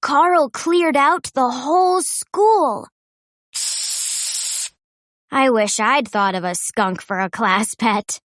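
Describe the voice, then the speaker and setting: A woman reading a children's storybook aloud in an expressive, playful way. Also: high-pitched, takes it slowly, pauses often, no echo, clean studio sound. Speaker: children's book reader. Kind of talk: storybook read-aloud narration.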